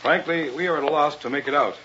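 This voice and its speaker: An actor playing a bold, eager male professor, formal and deliberate, in old-time radio drama style. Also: on the high side, noisy background, close to an everyday voice. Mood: formal, intent. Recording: muffled vintage radio, faint music.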